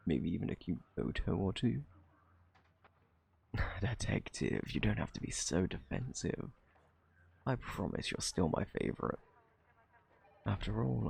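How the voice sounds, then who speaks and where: Man acting a gravelly, flirty British thief. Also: sits low, a little mellow, full character voice. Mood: smug, teasing, then flirty, teasing. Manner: playful, taunting, drawn-out theatrical delivery. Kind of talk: ASMR roleplay, intimate scripted monologue.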